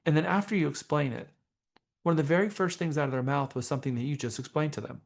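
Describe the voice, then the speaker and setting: A man teaching a professional class as a trainer, speaking in a deliberate, emphatic way with rhetorical questions. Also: fairly high pitch, steady tone, vocal fry. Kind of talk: motivational training seminar talk.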